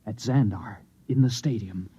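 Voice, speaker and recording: An actor playing a distraught, insistent Martian trapped in an Earthman's body, with intense, halting delivery in 1950s radio drama style. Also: lowish voice, dark tone, slightly rough. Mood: horrified, haunted. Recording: slightly muffled vintage radio play recording.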